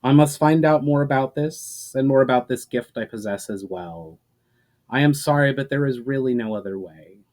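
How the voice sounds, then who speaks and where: A man's voice: mid-range voice, moderate pace, darkish tone, pitched above the performer's own voice, natural voice. A man voicing an earnest, troubled mage in a storybook reading, grave and explanatory in manner. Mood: resolved, gentle. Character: troubled, earnest male mage haunted by visions.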